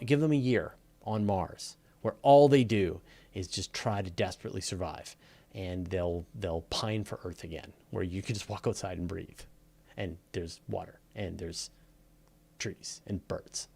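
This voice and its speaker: A man's voice: sits low, a little slow, gravelly, a little mellow. A man hosting a live question and answer show, talking off the cuff in a casual, emphatic, opinionated way and repeating phrases for stress. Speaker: host of a live space and astronomy Q&A. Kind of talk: casual off-the-cuff explanatory talk.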